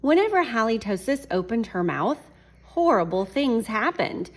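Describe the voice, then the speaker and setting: A woman teacher reading a picture book aloud to children, animated and chuckling, pausing to talk to her class. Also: on the high side. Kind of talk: children's read-aloud storytime.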